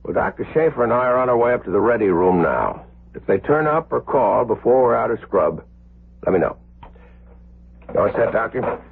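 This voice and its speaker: An actor playing a stern, exacting middle-aged senior surgeon, curt and dry, in a 1970s radio drama. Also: male voice, medium pitch, sing-song, normal speed, creaky, husky, exaggerated voice. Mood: businesslike. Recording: noisy, muffled old radio recording.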